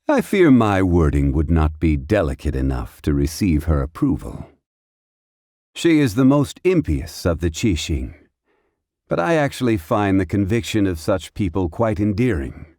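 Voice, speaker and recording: A man voicing an ancient god in mortal guise for a video game, courteous and wistful, speaking in a measured, formal, contemplative way. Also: low voice, warm tone, no room sound, studio quality. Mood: thoughtful, approving. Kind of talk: scripted video game character voice lines.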